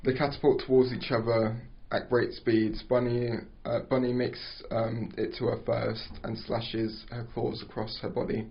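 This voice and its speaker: A man narrating stage directions at an amateur script table read, halting and stumbling over words. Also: mid-range voice, flat delivery, gravelly. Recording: dull lo-fi recording.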